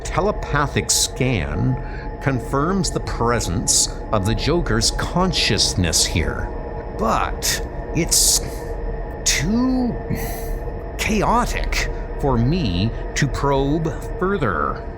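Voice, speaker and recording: Man playing a slow, solemn alien telepath. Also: mid-range voice, huge pitch swings, music in the background, heavily acted. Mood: grave, wary. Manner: measured, solemn, formal. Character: grave, analytical male alien telepath superhero. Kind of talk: comic book dub acting.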